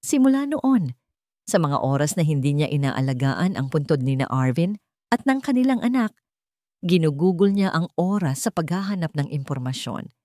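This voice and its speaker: A woman's voice: sits low, big pitch swings, moderate pace, warm tone, dry recording, studio quality. A woman with a Filipino accent narrating a scripted, dramatic audio story.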